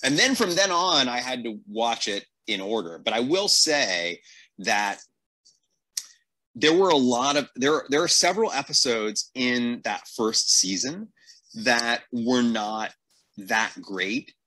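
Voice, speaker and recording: A man's voice: mid-range voice, animated, slow, bright, forceful tone, rough recording. A man in casual podcast chat, telling stories and acting out reactions as he goes. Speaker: podcast host.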